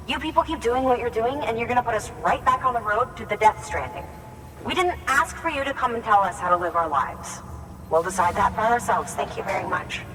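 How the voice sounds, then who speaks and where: A woman's voice: mid-range voice, a bit bright, far from the mic, lightly acted. An actress plays a commanding woman leader in a game drama, defiant and curt. Her sarcastic voice sits away from the mic in long reverb, with faint noise around it. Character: defiant, stern woman leader of an isolationist city. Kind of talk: dramatic video game acting.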